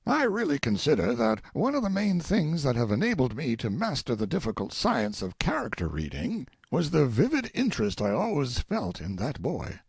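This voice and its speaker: A man's voice: a little high, vocal fry, warm tone, breathy edge, dry recording, voice pushed up, heavily acted. A man voicing a boastful, eccentric older colonel in an audiobook, talking in effusive, rambling exclamations. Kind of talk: audiobook character reading.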